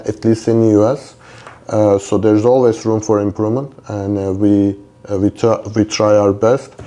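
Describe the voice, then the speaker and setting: Middle aged guy from turkey on a podcast, low relaxed mellow voice, polite and chatty. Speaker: interview guest, company co-founder. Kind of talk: conversational podcast interview.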